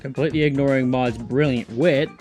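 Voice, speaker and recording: Man narrating a story over soft music. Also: mid-range voice, brisk.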